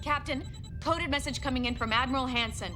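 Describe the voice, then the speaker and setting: An actress playing a brisk starship bridge officer giving crisp, clipped reports. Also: female voice, high voice, over music, natural voice. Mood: urgent report.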